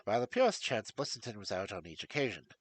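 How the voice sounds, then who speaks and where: A man reading a story aloud, voicing a cool, incisive male detective who reasons in a measured, clipped way. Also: on the low side, huge pitch swings, breathy edge, voice pushed down, heavily acted. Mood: analytical. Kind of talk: audiobook character voice.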